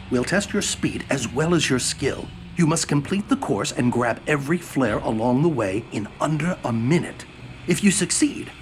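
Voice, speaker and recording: An actor playing a seasoned old alien general in a video game, a gruff, commanding mentor who instructs theatrically. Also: male voice, fairly high pitch. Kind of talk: video game cutscene acting.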